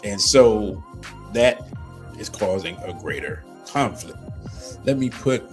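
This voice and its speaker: A man hosting a live commentary show, talking slowly with many pauses and ums, and going hoarse. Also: on the low side, expressive, faint music behind. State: losing his voice. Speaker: livestream host. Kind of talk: casual commentary reading news aloud.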